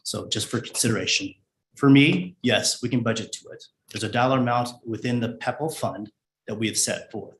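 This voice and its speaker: A man speaking as a school district finance official at a public meeting, in a measured, formal administrative way with hesitant pauses. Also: mid-range voice. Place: indoor board meeting room. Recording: echoey meeting room with background noise.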